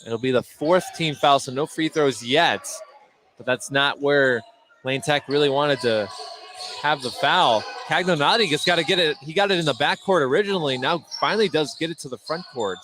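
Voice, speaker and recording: A man's voice: on the high side, normal speed. American man calling basketball over gym noise. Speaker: play-by-play basketball announcer. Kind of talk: live radio sports commentary. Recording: noisy gym ambience, bouncing basketballs. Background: busy gymnasium with basketball bounces.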